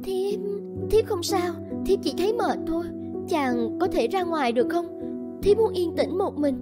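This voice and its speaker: An actress playing a gentle, anxious, devoted village bride in a cartoon folk tale, pleading and hesitant. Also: female voice, very high-pitched, lively intonation, normal speed, over loud music. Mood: weary. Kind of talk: animated folk-tale dialogue.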